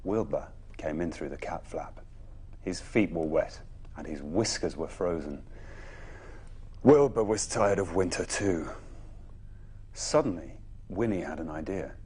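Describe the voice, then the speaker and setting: Husky, very animated male bedtime storyteller. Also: low voice. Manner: dramatic pauses, emphatic phrasing. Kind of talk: expressive children's story narration.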